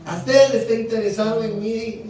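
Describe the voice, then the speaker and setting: Man voicing a vain, high-pitched oak tree. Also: steady tone, dark tone. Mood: smug, preening. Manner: preening, melodramatic, drawn-out exclamations. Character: vain narcissistic male oak tree, boastful, then wailing. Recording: echoey hall, far from mic, faint music.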